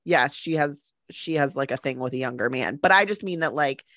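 A woman's voice: low voice, a little fast, fairly bright tone, no room sound, clean studio sound. A woman co-hosting a podcast, rambling casually about a film with lots of "like" and "um". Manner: chatty, rambling, with frequent likes and ums. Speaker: podcast co-host.

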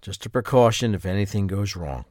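A man's voice: low-pitched, expressive, fairly quick, a little mellow, no room sound, clean studio sound. A man playing a guarded, determined husband in a crime audio drama, speaking with intensity. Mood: grim, subdued. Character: capable, determined husband of a kidnapped wife.

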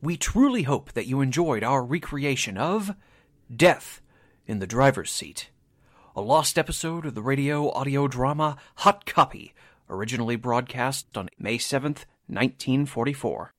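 Male announcer, mid-pitched, scripted radio-theatre sign-off, very dry recording. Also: expressive, moderate pace, a bit bright, no echo.

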